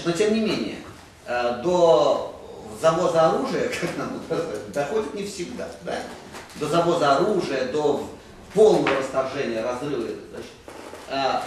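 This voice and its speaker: A middle-aged man speaks at a meeting table, his slightly high voice rambling on and checking for agreement with the room. He sounds distant, caught from across a conference room. Heavy echo and steady hiss sit under him. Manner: rambling, frequent "да?" tag questions, gesturing. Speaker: roundtable participant. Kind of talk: panel discussion. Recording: echoey room from far off, noisy.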